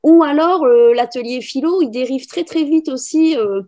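A woman's voice: sits high, normal speed, warm tone. A middle-aged woman giving spontaneous explanations in an interview on a video call, thinking aloud with frequent little tags. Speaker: philosophy teacher and trainer, interviewee. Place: room at home with bookshelves, indoors. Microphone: video call, computer microphone.

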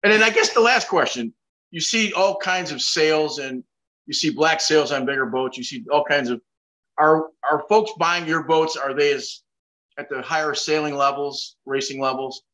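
A man's voice: fairly high pitch, normal speed, bright, forceful tone, low-quality recording. Older man hosting a chatty video call. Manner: folksy, rambling questions with self-deprecating jokes. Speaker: host. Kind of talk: casual interview chat.

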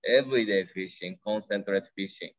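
A man in his thirties from Japan, speaking English with a Japanese accent in short, broken, excited phrases as an interview guest on a video call. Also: on the low side, moderate pace, muffled recording. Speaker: guest angler. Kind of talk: casual interview. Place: home room.

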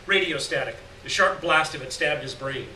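A man's voice: fairly high pitch, moderate pace, pushed and bright. A middle-aged man reading a short story aloud at a live event, expressively and acting out the dialogue. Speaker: reader at a live literary event. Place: small indoor gallery, live event. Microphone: gooseneck mic at lectern, far camera. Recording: roomy, distant mic, faint background noise.

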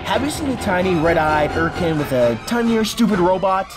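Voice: mid-range voice, animated, moderate pace, loud music behind the voice, natural voice. A performer voicing an earnest, easily exasperated human boy hero in a cartoon comic dub. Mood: determined.

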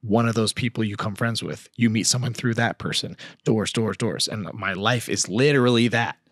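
A man in his thirties as a podcast guest, telling stories animatedly with restarts and trailing phrases, at a boom mic in a studio. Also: normal speed, a bit bright, no room sound. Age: 30s. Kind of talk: casual podcast chat. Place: dim studio set on a couch. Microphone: boom mic, headphones.

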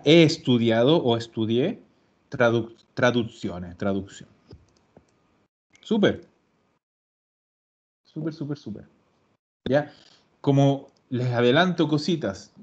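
A man teaching Italian in an online class, patient and explaining slowly, repeating words. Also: medium pitch, lively intonation, a little mellow, slightly rough. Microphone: video call. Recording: dull lo-fi call audio.

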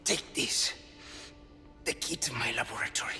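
An actor voicing a cheeky, theatrical Spanish adventurer in a video game, with a teasing, flamboyant manner. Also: male voice, mid-range voice, moderate pace, sharp tone. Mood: dying, wistful. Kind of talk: video game cutscene acting. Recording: music behind the voice.

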